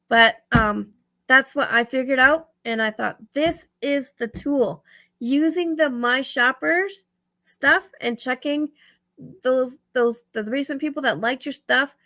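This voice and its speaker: Raspy, higher-pitched woman thinking aloud. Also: bright, forceful tone. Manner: rambling, thinking aloud, self-correcting. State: tired, dry-throated. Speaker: vlogger giving reselling tips. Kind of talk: casual screen walkthrough tutorial.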